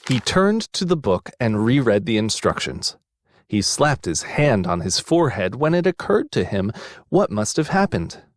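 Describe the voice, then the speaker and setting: A man's voice: medium pitch, very animated, normal speed. A man reading a scripted story for children as a narrator.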